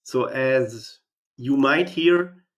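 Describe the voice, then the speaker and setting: Male webinar host from Germany, voice strained by a cold, measured delivery, lots of ums and restarts. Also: mid-range voice. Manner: measured, with frequent fillers and restarts. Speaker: webinar host, company CEO. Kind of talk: software product webinar presentation.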